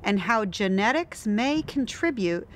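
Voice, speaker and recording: A woman's voice: mid-range voice, expressive, unhurried. A woman, a study participant, chatting casually and upbeat in an interview outdoors in her driveway.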